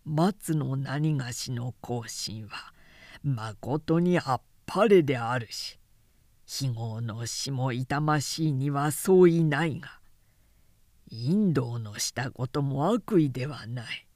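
A grave old lord , voiced by a woman narrator, in a period storybook reading. He speaks slowly and softly, patient and soothing as he persuades. The sound is dry and close. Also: a bass voice, animated, no room sound, voice pushed down, exaggerated voice. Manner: measured, hushed, soothing.

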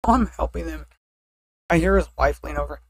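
A woman with a deeper voice chatting casually as a podcast co-host, blunt and often laughing at herself. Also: normal speed, darkish tone, no echo, studio quality. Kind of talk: casual chat.

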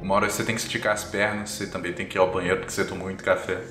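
A man's voice: low-pitched, little pitch movement, very fast. A man with a Brazilian accent improvising tabletop RPG narration as game master, setting scenes piece by piece. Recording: roomy echo, music underneath. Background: background music.

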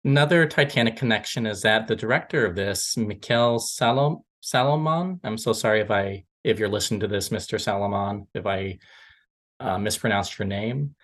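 Halting, rambling guy talking movies. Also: on the low side, fairly bright tone. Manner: halting, rambling delivery with self-corrections. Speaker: film podcast co-host. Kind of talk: casual film discussion.

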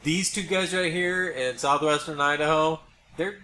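A man's voice: fairly high pitch, steady tone, unhurried, bright, forceful tone. An American man co-hosting a casual podcast, talking informally and emphatically about his opinions. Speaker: podcast co-host. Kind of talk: casual conversational podcast chat. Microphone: podcast microphone. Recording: noisy background in podcast recording.

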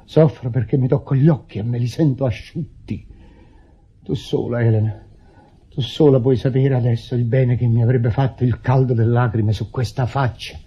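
An actor playing a guilt-ridden, tormented widower, declaiming with anguish in a melodramatic radio play. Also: male voice, medium pitch, steady tone, moderate pace, husky, close to an everyday voice. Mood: tormented, grieving. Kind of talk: theatrical Italian radio drama acting. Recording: muffled old radio broadcast recording.

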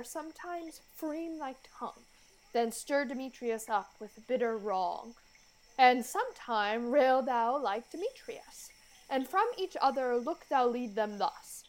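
A woman acting a stern, commanding fairy king, measured and imperious, in a Shakespeare radio play. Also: high-pitched, rough recording.

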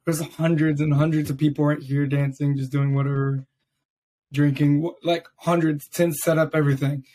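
A raspy-voiced young man hosting a casual podcast, chatting loosely with many fillers. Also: a little high, level tone, normal speed, dark tone. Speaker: podcast host. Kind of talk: casual chat.

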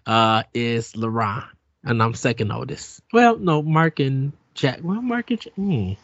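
A man's voice: medium pitch, unhurried, a bit bright, no echo. Guy in his 40s hosting a podcast, just chatting, bit muffled and dry. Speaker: podcast host. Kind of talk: casual podcast chat.

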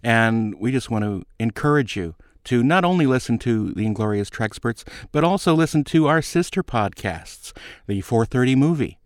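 A man's voice: animated, moderate pace, dry recording, clean studio sound. A man who hosts a podcast, reading an upbeat scripted promo. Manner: upbeat, pitching. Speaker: podcast host doing a promo.

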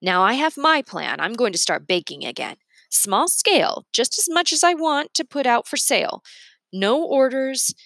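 Storybook role: female narrator playing no-nonsense older grandma, firm and practical, lively intonation. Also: sits high, moderate pace, creaky, no echo, studio quality, pitched above the performer's own voice, full character voice. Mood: brisk, decided.

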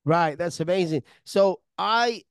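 Male host, high and smooth; casual, curious, restarts his questions. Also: a little slow, no echo, studio quality. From England. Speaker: podcast host. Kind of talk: casual podcast chat.

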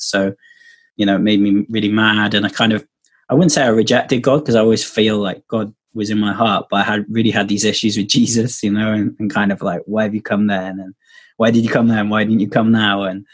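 A man's voice: fairly low pitch, slightly rough. A man chatting casually as a podcast guest, rambling with lots of fillers and restarts, heard over a video call. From Wales. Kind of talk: casual testimony chat.

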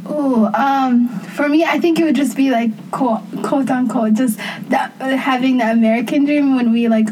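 A woman's voice: sits high, fairly even intonation, moderate pace, darkish tone, a little hiss or hum, low-quality recording. A woman co-hosting a casual chat, telling a story in a hesitant way with many fillers. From Somali. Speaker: podcast co-host.

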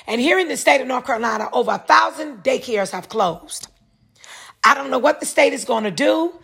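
A woman's voice: high-pitched, expressive, brisk, vocal fry, a bit bright, breathy edge. A woman, hosting a solo podcast, reading a news article aloud and then rambling through casual commentary, repeating herself and correcting her words.

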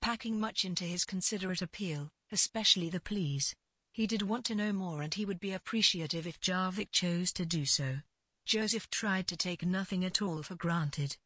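A woman reading literary fiction as narrator, in a measured and deliberate scripted delivery. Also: fairly low pitch, a bit bright, breathy edge, no room sound.

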